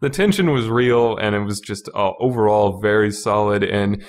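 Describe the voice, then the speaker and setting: A man's voice: lowish voice, moderate pace. A man giving a casual, unscripted podcast review, rambling informally with lots of "you know" and repeating points for emphasis.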